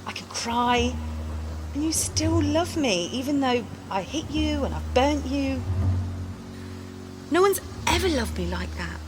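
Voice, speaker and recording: A woman's voice: high voice, sing-song, a little slow, pauses often, noisy background. A woman playing a volatile, needy, cutting girlfriend in an intimate audio drama, blunt, profane and intense. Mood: tender, pleading. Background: music.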